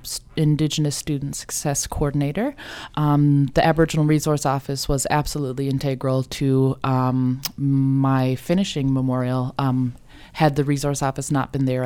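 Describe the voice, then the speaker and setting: A woman's voice: extremely low pitch, dark tone, smooth. A woman from Newfoundland, a mother and student success coordinator, introducing herself earnestly with frequent hesitations. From Newfoundland, Canada. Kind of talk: guest self-introduction.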